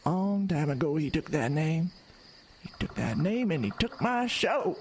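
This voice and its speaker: A woman's voice: low-pitched, sing-song, a little slow, a little mellow, a little hiss or hum. A voice actor playing an old woman from the woods, the carnival owner's mother, who talks in folksy rural grammar and gives ominous warnings in a horror radio drama. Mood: bitter.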